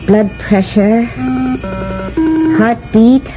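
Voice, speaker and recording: An actress playing a brisk space service lieutenant reciting regulations in a radio drama. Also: female voice, medium pitch, fairly even intonation. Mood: brisk, procedural. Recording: muffled vintage radio recording, music behind.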